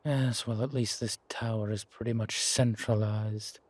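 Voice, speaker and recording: Guy doing a sardonic vampire for audio rp, lowish voice, kinda formal and weary, super dry close recording. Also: steady tone, no room sound. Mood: musing, calm. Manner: wry, measured, formal phrasing with sighs. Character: sardonic, weary male vampire, protective of a little companion. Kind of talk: scripted audio roleplay drama.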